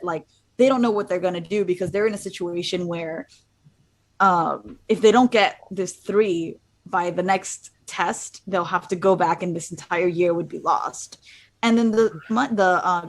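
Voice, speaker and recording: A young woman from Miami, a student abroad, chatting casually as a guest and rambling with many fillers. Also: on the low side. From Miami, USA. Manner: rambling, heavy use of "like". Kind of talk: casual chat.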